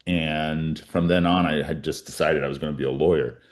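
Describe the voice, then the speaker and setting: A man's voice: very deep voice, steady tone, fairly quick. A man in his forties who grew up in Colombia, a criminal defense attorney telling his story in an earnest, reflective way with frequent restarts. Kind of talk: interview storytelling.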